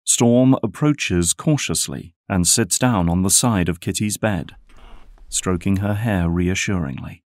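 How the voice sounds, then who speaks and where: A man's voice: low-pitched, unhurried, no echo, studio quality. A man delivering scripted dramatic narration as the narrator of an audio drama.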